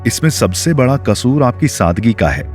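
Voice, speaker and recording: A man's voice: medium pitch, big pitch swings, normal speed, soft background music, voice pushed down, natural voice. A man voicing a senior male store manager in an audio story, formal and authoritative, stern yet deferential. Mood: apologetic.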